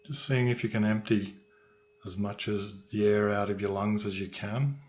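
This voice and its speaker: Low, even-voiced man guiding a meditation. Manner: calm, unhurried, with long pauses between phrases. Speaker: meditation guide, sound healer. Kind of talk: guided meditation, slow soothing instruction. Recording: noisy recording with ringing tuning forks. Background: humming tuning forks.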